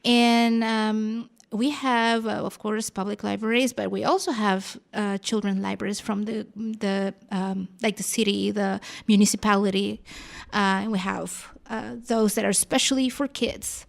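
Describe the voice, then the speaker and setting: Gravelly-edged woman with a Costa Rican accent. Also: medium pitch, fairly even intonation, a little slow. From Costa Rica. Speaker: librarian, interview guest. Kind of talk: conversational radio interview.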